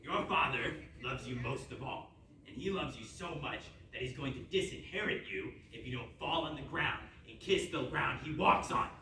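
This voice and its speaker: A man as an animated, theatrical henchman, very echoey. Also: mid-range voice, moderate pace, recorded from a distance. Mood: pompous warning. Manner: theatrical, jokey. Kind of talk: comic stage readers theatre. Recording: very echoey room from afar, clear noise.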